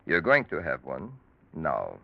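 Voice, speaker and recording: An actor playing a cold, calculating crime-drama surgeon in 1940s radio style, speaking formally and precisely with unruffled menace. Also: male voice, very deep voice, wildly swooping pitch, measured pace, vocal fry, exaggerated voice. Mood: clinical, insistent. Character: cold, calculating male surgeon extorting his criminal patients. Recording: muffled vintage radio drama recording.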